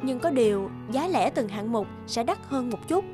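A courteous female agency receptionist played by an actress in an animated tale. She speaks in a high, brisk, formal service voice with music playing behind her. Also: lightly acted. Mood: polite, businesslike. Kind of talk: animated moral-tale voice acting.

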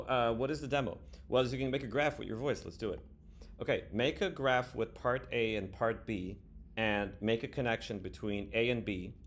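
A man in his thirties giving a casual, halting software demo as a developer, speaking informally. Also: on the low side. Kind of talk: casual screen-recorded demo. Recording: background room noise.

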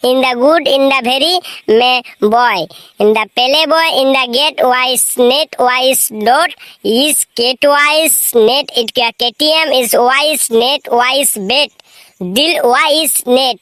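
Cocky cartoon schoolboy, rural West Bengal accent, piercingly bright, dry close sound, rambles proudly. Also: female voice, high voice, extremely slow, dry recording, exaggerated voice. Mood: confident. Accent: rural West Bengal (Bankura). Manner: cocky, rambling, garbled pidgin English. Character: boastful, eager schoolboy mangling English answers. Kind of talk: comic cartoon voice acting.

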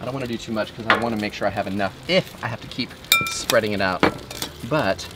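A man's voice: on the low side, quick pace, heavy background noise. A man in his thirties hosting a casual outdoor cooking show, talking in an upbeat, joking way as he cooks. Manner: upbeat, joking, quoting movie lines. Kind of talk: casual cooking demo with banter. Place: outdoor griddle kitchen with wood walls. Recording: griddle sizzle behind voice.

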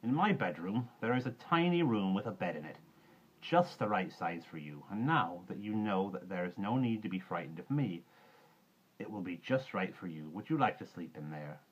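A man's voice: deep voice, expressive. Man as a kindly, soothing storybook woman, muffled recording. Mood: calm, inviting. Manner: warm, soothing, unhurried. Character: kindly, reassuring woman, calm magical guardian of a child. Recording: dull muffled recording with clear background noise.

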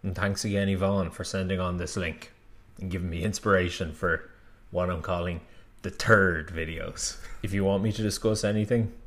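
Chatty young man with a low voice. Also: fairly even intonation, normal speed, a bit bright. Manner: chatty, enthusiastic, laughs at own asides. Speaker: channel host. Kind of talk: casual vlog commentary. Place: indoor room, seated at a table.

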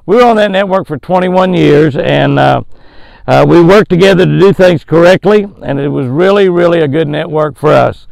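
An older Southern man with a gravelly, slightly high voice talks in a folksy, chuckling way, stretching words for emphasis. He hosts a fishing show, reading a short devotional and giving a bass tip. He is recorded outdoors by a lake, close to the camera, with a dry sound. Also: no room sound. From American South.

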